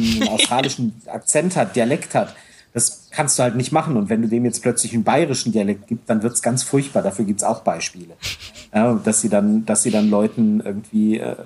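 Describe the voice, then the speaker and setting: A man's voice: fairly low pitch, dark tone, husky. A man co-hosting a casual podcast chat, talking at length with many restarts and hesitations. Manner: rambling, frequent restarts and hesitations. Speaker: podcast co-host.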